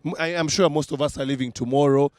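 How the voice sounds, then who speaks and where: A man's voice: fairly high pitch, steady tone, fast, a little mellow, no echo. A man from Uganda hosting a casual podcast panel and wrapping it up in an easygoing, chatty way. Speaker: podcast host.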